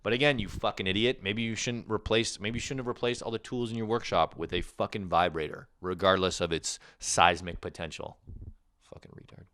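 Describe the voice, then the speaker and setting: A man's voice: fairly low pitch, fairly quick, a bit bright, no room sound. A man hosting a comedy podcast, reading reviews aloud with crude, sarcastic, mocking commentary. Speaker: podcast host.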